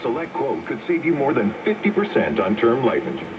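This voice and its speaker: A man's voice: medium pitch, wildly swooping pitch, fairly quick. A man announcer reading a scripted advertisement, played back from a radio. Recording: noisy distant muffled radio speaker.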